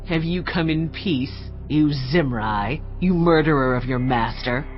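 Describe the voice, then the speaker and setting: An actress playing Jezebel, a proud, scornful, villainous queen who speaks slowly and tauntingly in an audio Bible drama. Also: deep voice. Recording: dull lo-fi audio with music.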